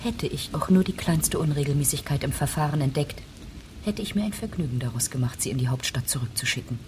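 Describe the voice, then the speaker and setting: Woman acting resentful female judge, very deep voice, icy, cutting, radio drama, clear rainstorm noise. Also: fast, close to an everyday voice. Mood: icy, formal. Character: cold, resentful female judge, estranged wife. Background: rain, thunder.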